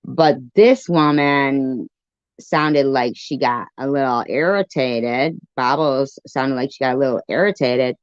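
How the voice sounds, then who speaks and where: A woman hosting a casual live stream, commenting and reacting in a deliberate, repetitive way. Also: extremely low pitch, clear, resonant tone, no room sound. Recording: dull lo-fi live stream audio.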